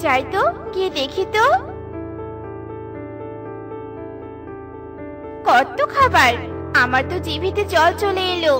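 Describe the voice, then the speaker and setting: A woman's voice: very high-pitched, lively intonation, moderate pace, over music. A woman voicing a lazy, selfish, greedy female crow in a cartoon, with a brusque, scheming manner. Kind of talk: Bengali cartoon voice acting.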